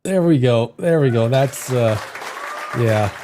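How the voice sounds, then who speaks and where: A man's voice: medium pitch, expressive, slow pace, mellow, some chatter behind. A man hosting a live reaction show, rambling and restarting his sentences, with a sniffly head cold. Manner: rambling, repeats words and restarts often.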